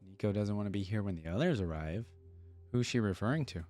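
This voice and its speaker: A man's voice: lowish voice, expressive, quick pace, a little mellow. A man hosting a casual reaction video, enthusiastically commenting and musing aloud on what he hears. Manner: enthusiastic, repeats lines back and muses on them.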